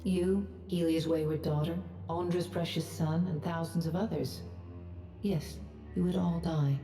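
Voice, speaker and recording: A low, mellow female deity of death in a fantasy game. She speaks with chilly, measured gravity, sounding distant and echoing. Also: fairly even intonation. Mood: cold, grave. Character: cold, grave goddess of death. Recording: distant, echoing voice over faint music.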